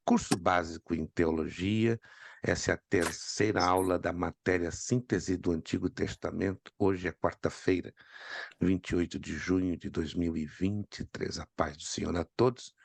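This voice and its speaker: A man, hoarse from a cold, teaching an online Bible class and calling on students by name. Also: sits low, fairly even intonation, moderate pace, no room sound. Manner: repeats key points for emphasis.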